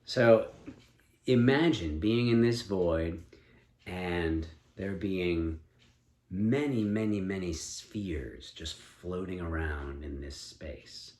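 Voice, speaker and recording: A man improvising a story as a storyteller, speaking in a deliberate, inquisitive and encouraging manner. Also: deep voice, darkish tone. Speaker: storyteller and co-host with a child. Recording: echoey room, faint background noise.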